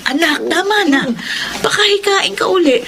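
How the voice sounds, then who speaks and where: A woman's voice: extremely high pitch, lively intonation, moderate pace, gravelly, background noise, exaggerated voice. An actress with a Filipino accent playing an emotional young mother in an audio drama. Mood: gentle, fussing. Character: Sakura, heartbroken young woman, later gentle fussing mother.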